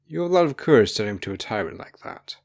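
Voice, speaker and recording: A man's voice: on the low side, big pitch swings, rapid-fire. Man voicing a kindly fox-eared doctor. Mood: admiring. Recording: music bed behind the voice.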